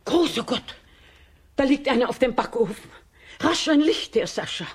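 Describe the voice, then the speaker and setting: An actress playing a fretful, caring middle-aged peasant aunt in a children's radio play, with a fussing, expressive delivery. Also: female voice, sits high, normal speed, breathy edge. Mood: alarmed.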